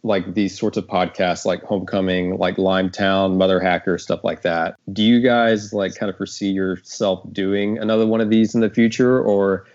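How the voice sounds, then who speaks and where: A man casually hosting a panel discussion, halting and padding his sentences with filler words. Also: low-pitched, steady tone, a little mellow, lo-fi sound. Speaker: host and creator of the podcast.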